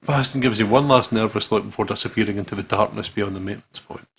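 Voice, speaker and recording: A man's voice: lowish voice, quick pace, vocal fry, a bit bright, husky. A man hosting a gaming video, reading story narration aloud dramatically and dropping into casual asides.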